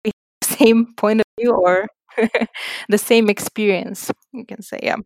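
A woman's voice: mid-range voice, steady tone, unhurried, a little mellow, a little hiss or hum, poor audio. A young woman from Slovenia, a native Slovenian speaker, chatting casually as a podcast guest. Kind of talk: casual chat.

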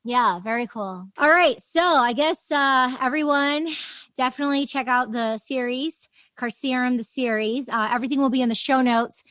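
A woman's voice: high-pitched, moderate pace, fairly bright tone, dry recording. A woman hosting an interview podcast in casual chat, talking in an enthusiastic, gushing, rambling way. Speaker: podcast host interviewing a guest.

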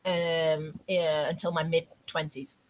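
A woman's voice: sits low, slow, slight background noise, poor audio. A woman in her thirties, from North East England, chatting casually as a podcast guest, swearing and full of ums. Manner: lively, swearing, many ums. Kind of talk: casual chat.

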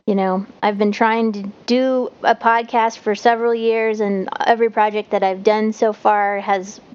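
A woman with a high, squeaky voice, chatting animatedly as a podcast co-host. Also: normal speed, highs cut off. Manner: animated, squeals playful voices when quoting herself. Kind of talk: casual podcast chat.

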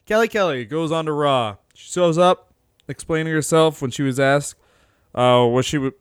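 Podcast co-host, male, smooth clear tone, dry close sound, laughs a lot. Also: fairly high pitch, normal speed, no echo. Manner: laughs often, animated storytelling. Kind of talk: casual podcast chat.

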